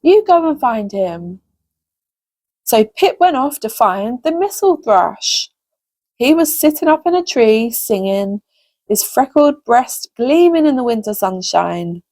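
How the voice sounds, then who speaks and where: A woman's voice: a little high, expressive, unhurried, darkish tone. A woman hosting a story time for young children, reading expressively and addressing the listeners directly. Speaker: host reading children's stories.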